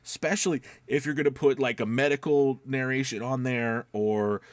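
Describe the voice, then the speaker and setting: A man's voice: mid-range voice, fairly even intonation, moderate pace, no echo. Casual male coach, close dry sound. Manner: conversational, emphatic, often checks in with listeners. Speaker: voice-over coach. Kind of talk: casual instructional talk, explaining step by step.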